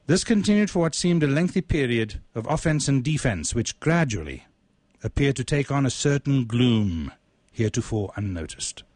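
Man giving a deliberate dramatic story reading. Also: medium pitch, expressive, a little mellow, dry recording, studio quality. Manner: ornate, deliberate, measured phrasing. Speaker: writer reading own short story.

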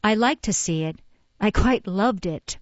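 A woman's voice: mid-range voice, animated, a little slow, no echo, dull audio, natural voice. A woman voicing a meek, polite young boy who is still weak from illness. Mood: earnest. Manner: polite, earnest.

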